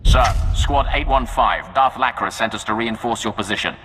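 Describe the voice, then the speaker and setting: An actor playing a dutiful Imperial soldier reporting in a video game, clipped and deferential. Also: male voice, normal speed, a bit bright, lightly acted. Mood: brisk, dutiful. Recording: long reverb, rumbling noise behind.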